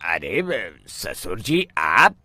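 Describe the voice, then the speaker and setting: A man's voice: lowish voice, wildly swooping pitch, drawn-out words, sharp tone, no echo, exaggerated voice. A man voicing an anxious, protesting young village bridegroom in a cartoon story. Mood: dismayed. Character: nervous young village bridegroom, fearful but pleading.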